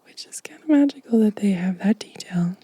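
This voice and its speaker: A woman whispering gently and softly in an ASMR show-and-tell style, breathy and unhurried. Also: mid-range voice, animated, no echo. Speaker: ASMR video creator.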